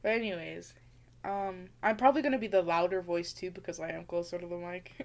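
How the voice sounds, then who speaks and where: A woman's voice: fairly low pitch, steady tone, a little fast, gravelly. A young woman co-hosting a podcast in casual chat, with a slight lisp, mumbling a little and laughing often. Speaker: podcast co-host.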